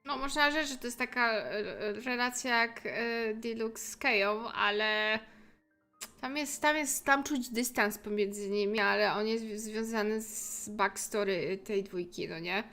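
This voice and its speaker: A young woman with a Polish accent giving casual, excitable live game commentary, often stumbling and repeating herself. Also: a little high, normal speed, soft background music. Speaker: game streamer.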